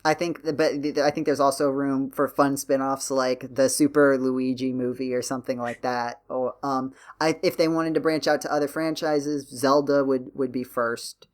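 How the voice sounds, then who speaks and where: Guy hosting a chatty podcast, mid-range voice, kinda halting, keeps circling back while he figures out what he means. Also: medium pitch, flat delivery. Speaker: podcast host. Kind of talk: casual podcast discussion.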